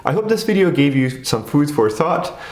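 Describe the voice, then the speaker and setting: A man from Turkey, a native Turkish speaker, calmly narrating a scripted explainer video. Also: on the high side, moderate pace, roomy sound. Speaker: video essay host.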